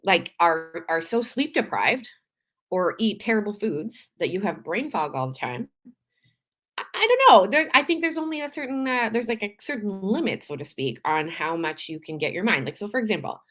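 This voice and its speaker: A woman from Canada hosting a live show, talking in a chatty, animated way with frequent laughs and restarts, her voice a little tired from days of talking. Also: medium pitch, normal speed. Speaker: podcast host. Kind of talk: casual live-stream monologue.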